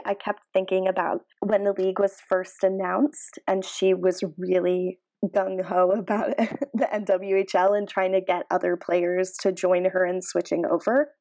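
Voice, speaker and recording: A woman's voice: mid-range voice, steady tone, normal speed, few pauses, a little mellow. A woman co-hosting a podcast, casually discussing sports and giving reasoned opinions. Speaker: podcast co-host.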